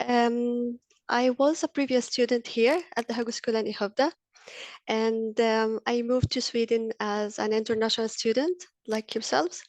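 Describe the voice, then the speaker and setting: A woman's voice: a little high, steady tone, a little slow, darkish tone, no room sound. A woman in her thirties from Egypt, a university lecturer, giving an earnest webinar presentation with frequent ums and uhs. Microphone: video call.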